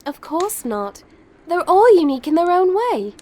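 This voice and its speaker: Woman as young girl, high voice, animated, sweet, friendly, audio drama. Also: moderate pace.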